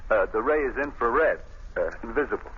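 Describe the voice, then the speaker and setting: A man's voice: medium pitch, animated, brisk, lightly acted. An actor playing a chatty, deferential working man, an electrician, in a folksy 1940s radio style. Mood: helpful, deferential. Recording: tinny, hissy old radio recording.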